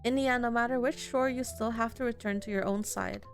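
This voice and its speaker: A woman with a fairly high voice plays a visual novel. She reads the dialogue aloud and adds casual reactions of her own, with some music playing behind her. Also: fairly even intonation, a little fast, creaky.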